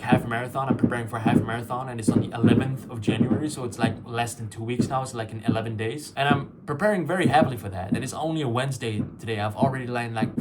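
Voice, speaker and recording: A man's voice: mid-range voice, heavy background noise. A young man whose first language is Farsi, rambling through a reflective solo vlog with many fillers and restarts. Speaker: YouTuber. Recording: loud fireworks and clicks behind.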